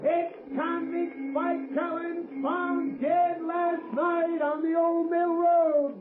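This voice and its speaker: Young street newsboy, piercingly high, drawn-out hawking calls, vintage radio drama, tinny. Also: male voice, flat delivery, music in the background. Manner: drawn-out street hawker's cry. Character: shrill young newsboy hawking morning papers. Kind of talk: 1930s radio drama acting. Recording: tinny, echoing old radio recording.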